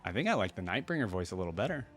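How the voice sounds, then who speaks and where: Guy hosting a reaction, chatting casually, lowish voice, faint music behind. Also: big pitch swings, very fast. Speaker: reaction video host.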